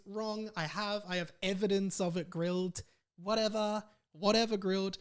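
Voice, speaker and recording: An Australian man chatting casually as a solo podcast host, telling stories in an animated, playful, mock-dramatic way. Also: fairly high pitch.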